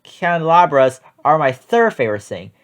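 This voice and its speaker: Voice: medium pitch, sing-song, normal speed, natural voice. A storybook reader voicing an always-hungry, mannerly chupacabra monster. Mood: hungry, polite. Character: chupacabra, always-hungry monster who likes goats' snacks.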